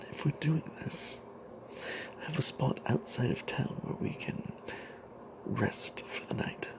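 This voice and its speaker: A man voicing a scheming, irreverent fantasy cleric in a halting, breathy, conspiratorial whisper. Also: medium pitch, background noise, heavily acted. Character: scheming, cagey, irreverent fantasy cleric, about five feet tall.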